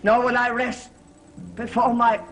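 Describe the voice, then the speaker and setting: A woman's voice: on the high side, level tone, slight background noise, close to an everyday voice. An actress playing a fierce, devoted female servant in a gothic soap opera, solemn and declamatory in manner. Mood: grimly resolute. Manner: slow, solemn, declamatory delivery.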